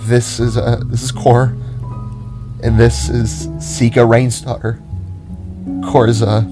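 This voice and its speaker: A man voicing an emotional robot-like younger brother with halting, choked speech in an amateur audio drama. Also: medium pitch, little pitch movement, music in the background, voice pushed up, close to an everyday voice. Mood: flustered, emotional. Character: weeping male robot-like spark, shaken younger brother.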